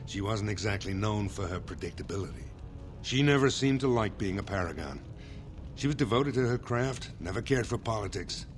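Man playing a stately older dwarf lord over loud music. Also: fairly low pitch, expressive, natural voice. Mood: grave, formal. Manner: formal, measured, stately. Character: dignified older male dwarf lord seeking the throne. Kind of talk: fantasy video game dialogue. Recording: game dialogue over prominent music. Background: loud background music.

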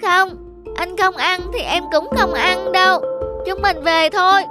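A woman voicing an eager, excitable little boy in a cartoon, with a childlike way of talking. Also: very high-pitched, measured pace, music in the background. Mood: sulky, loyal. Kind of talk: cartoon voice acting.

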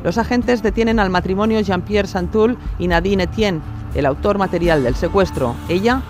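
A man's voice: sits high, normal speed, music in the background. A man narrating a documentary from a script.

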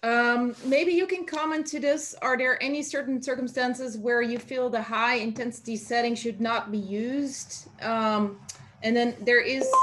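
A woman in her forties moderating a webinar, reading audience questions with some fillers over a video call. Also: a little high. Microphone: video call webcam.

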